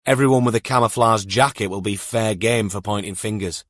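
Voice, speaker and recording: A calm female police detective as read by a male audiobook narrator, measured and matter-of-fact. Her manner is dry-witted, and the recording is close and dry. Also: on the low side, no echo, close to an everyday voice. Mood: questioning, matter-of-fact.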